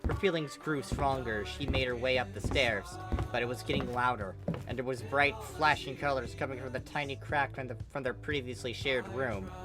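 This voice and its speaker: A narrator giving an expressive amateur reading of fan fiction. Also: medium pitch, normal speed, loud music behind the voice.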